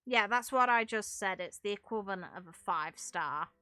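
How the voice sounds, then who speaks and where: Bright-voiced woman ranting casually over music. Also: medium pitch, animated, normal speed, smooth, no room sound. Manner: blunt, emphatic, sweary. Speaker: game streamer and video creator. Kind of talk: casual gaming commentary, opinionated rant.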